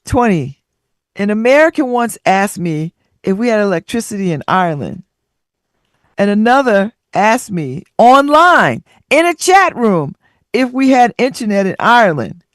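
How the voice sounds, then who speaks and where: A woman's voice: medium pitch, sing-song, a little slow, dry recording, studio quality. A woman hosting a radio talk show, reading out listener stories and riffing on them with frequent laughter and sassy asides.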